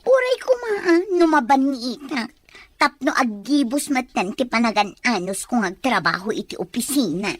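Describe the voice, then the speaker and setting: A woman plays a young female office worker in a radio drama. Her voice is high-pitched. She sounds hardworking and put-upon, airing her complaints with weary feeling. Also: big pitch swings, moderate pace, no echo. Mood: weary complaining. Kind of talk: Ilocano radio drama acting.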